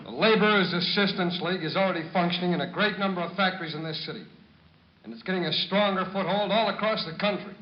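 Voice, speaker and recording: A forceful union organizer in a vintage radio play. He makes his case urgently in a high voice. The old recording is echoey and muffled. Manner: urgent speechmaking. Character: earnest, forceful union man addressing a meeting. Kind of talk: 1940s-50s radio drama acting.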